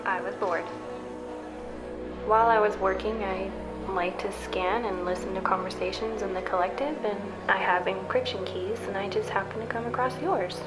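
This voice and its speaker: Medium female voice, acted, determined young scientist, guarded, terse, sci-fi audio drama, clear music bed. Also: medium pitch, steady tone, moderate pace, close to an everyday voice, rough recording. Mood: guarded, matter-of-fact. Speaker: supporting character. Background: background music.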